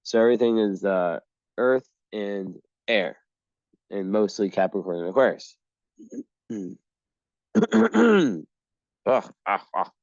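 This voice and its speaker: A man working through an astrology chart reading on his own, halting and rambling as he goes, clearing his throat often, a little hoarse. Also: lowish voice, big pitch swings, darkish tone, dry recording. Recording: dull lo-fi sound.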